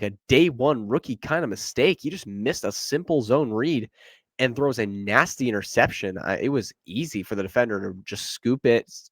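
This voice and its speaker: A young man with a stuffy, slightly nasal voice runs his own sports podcast, talking fast and energetically with casual asides. His voice sits at an ordinary middle pitch. The sound is close and dry, with no room around it. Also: no echo. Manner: energetic, conversational, quick asides. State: congested, stuffy nose. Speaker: podcast host. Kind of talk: solo sports podcast analysis.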